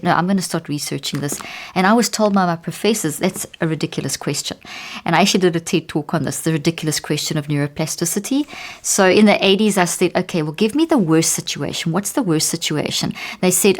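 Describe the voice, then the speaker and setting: A middle-aged woman with a South African accent, a neuroscientist telling stories in a podcast interview. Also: on the low side. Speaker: neuroscientist guest.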